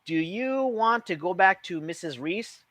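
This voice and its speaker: A man's voice: sits high, lively intonation, measured pace, bright, forceful tone, dry recording, pitched above the performer's own voice, full character voice. A man voicing a feisty, stubborn young girl in a children's storybook. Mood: coaxing.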